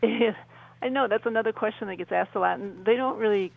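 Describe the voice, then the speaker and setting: A woman with noticeable vocal fry is being interviewed on the radio. Her pitch sits in the middle range. She gives a personal account earnestly, in halting phrases that she often repeats. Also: no room sound, narrow, tinny audio. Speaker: radio show guest.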